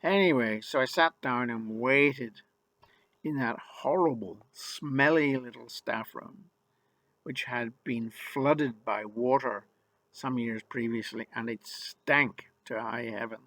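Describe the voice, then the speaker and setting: Slow, wry older man. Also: mid-range voice, a bit bright, no echo. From Ireland. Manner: wry, rueful and emphatic. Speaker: memoir narrator. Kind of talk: first-person storytelling.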